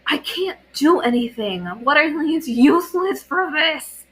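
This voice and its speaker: Woman playing an insistent teenage girl, high and bright. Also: lively intonation, a little slow. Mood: exasperated. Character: caring, exasperated teenage girl healer, bossy.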